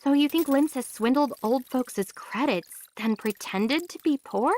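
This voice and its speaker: A woman's voice: high voice, a little slow, darkish tone. An actress playing a young, determined female adventurer in an anime game, inquisitive and unimpressed. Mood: unimpressed, direct. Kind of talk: English anime game voice acting.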